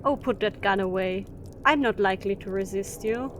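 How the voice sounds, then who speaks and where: A woman's voice: medium pitch, normal speed, natural voice. A woman playing a brusque, defiant spaceport captain in an audio drama. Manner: brusque, unimpressed. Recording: noisy mix with effects and ambience.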